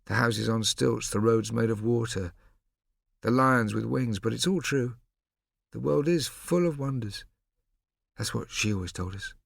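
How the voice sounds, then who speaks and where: A man's voice: mid-range voice, unhurried, vocal fry, pauses often, no room sound, clean studio sound. A man voicing a proud, protective twelve-year-old boy who speaks earnestly and defensively.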